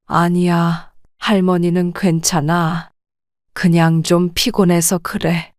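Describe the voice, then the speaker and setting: Lady doing a soft-spoken troubled grandma, slow talker, dry close mic. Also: lowish voice, flat delivery, no room sound. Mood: gentle. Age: older. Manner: soft, weary and restrained. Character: widowed grandmother, gentle and troubled. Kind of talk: audio drama acting.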